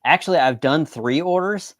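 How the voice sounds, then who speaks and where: A man's voice: on the high side, normal speed, a bit bright, no room sound, studio quality. A man talking casually as a guest on a show, matter-of-fact and rambling, often repeating himself. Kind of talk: casual interview talk.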